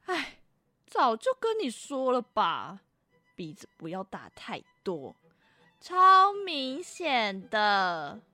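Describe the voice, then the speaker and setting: A woman playing a stern, nagging talent manager in a radio drama, scolding with drawn-out emphasis. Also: fairly high pitch, wildly swooping pitch, sharp tone, faint music behind, full character voice. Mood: scolding, warning.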